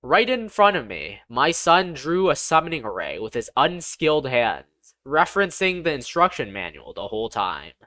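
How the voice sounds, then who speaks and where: An audiobook narrator reading a scripted first-person story in an expressive, deliberate storytelling manner. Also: medium pitch, no room sound.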